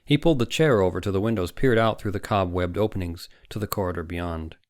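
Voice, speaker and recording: A man narrating an audiobook story in a dramatic, suspenseful manner with deliberate phrasing. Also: low-pitched, darkish tone, no echo, clean studio sound. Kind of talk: dramatic scripted fiction narration.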